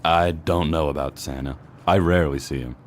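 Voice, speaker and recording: A man's voice: rumbling low, steady tone, normal speed. A man playing a menacing snowman mob hitman in a noir comedy audio drama, speaking in formal gangster style. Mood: grudging confession. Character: menacing snowman mob hitman, turning fearful when cornered.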